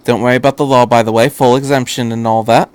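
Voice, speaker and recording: A man's voice: mid-range voice, little pitch movement, quick pace, clean tone, no room sound, natural voice. Casting: man reading male doctor adventurer, breezy and in command, casual prodding delivery, audio story.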